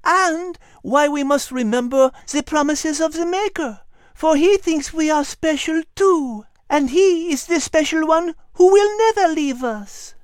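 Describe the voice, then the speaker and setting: A woman voicing a gentle, wise, refined female animal in a children's audio drama, speaking in an articulate, teacherly way. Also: sits high, measured pace, dry recording. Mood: gentle, reassuring.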